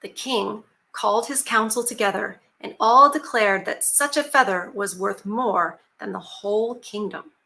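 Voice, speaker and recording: A woman reading an old fairy tale aloud for her channel, mixing steady storytelling with chatty asides to her viewers. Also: mid-range voice, measured pace, gravelly, hardly any pauses. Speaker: YouTube storyteller.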